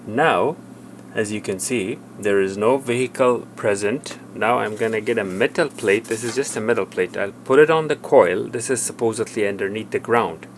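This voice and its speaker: A man presenting an unscripted technical demonstration, explaining step by step in a halting, plain way. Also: fairly low pitch, slight background noise. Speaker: tech tutorial presenter. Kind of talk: hands-on product demonstration, unscripted.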